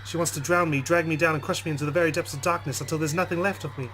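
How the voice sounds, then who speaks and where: A man's voice: fairly high pitch, fairly even intonation, rapid-fire, fairly bright tone, a little hiss or hum, rough recording. A man hosting a let's play, reading a visual novel's text aloud dramatically and adding his own commentary.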